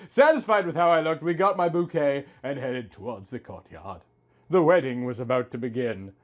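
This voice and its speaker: A young man reading a story aloud in a theatrical, mock-serious way with exaggerated emphasis. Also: mid-range voice. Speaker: host reading amateur fan fiction.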